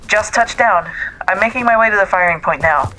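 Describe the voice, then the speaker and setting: A woman's voice: mid-range voice, fairly quick, pushed and bright, slight background noise, natural voice, low-quality recording. A woman playing a confident young soldier, a dry-witted sniper with clipped military delivery, in a sci-fi audio drama. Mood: confident, focused. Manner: clipped military radio talk.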